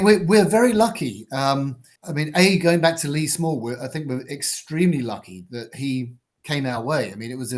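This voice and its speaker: An older man from Britain, a guitarist and producer, giving thoughtful, rambling interview answers in a fairly low voice with many fillers.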